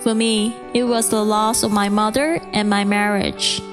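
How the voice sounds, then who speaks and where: A woman in her thirties from Taiwan with a Mandarin accent, a podcast host reading a calm, earnest, inspirational script. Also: medium pitch, unhurried, music in the background. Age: 30s.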